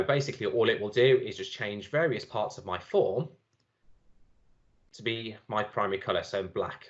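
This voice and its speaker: A man giving a relaxed, chatty software demo at a conference, with many fillers and restarts. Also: fairly low pitch, muffled recording. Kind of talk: live screen-share demo talk.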